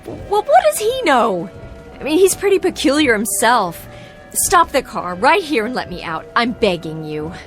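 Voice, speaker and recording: A woman's voice: on the high side, very animated, moderate pace, faint music behind. An actress in a radio drama playing a distraught young woman who pleads, protests and insists with agitation. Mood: exasperated, pleading.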